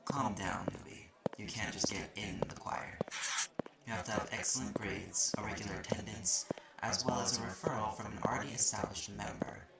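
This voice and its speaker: A man's voice: lowish voice, fairly even intonation, moderate pace, gravelly, reverberant, whispered. A man playing a haughty, slightly mean magic-university student in an audio roleplay, explaining things in a curt, condescending way. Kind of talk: audio roleplay acting addressed to the listener. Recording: noisy recording with clicks.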